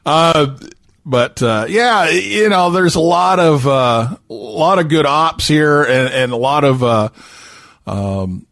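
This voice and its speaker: Slow-talking male host, dry sound. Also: a little high, expressive, a bit bright, no room sound. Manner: hesitant, many fillers, joking. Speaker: show host. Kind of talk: casual interview.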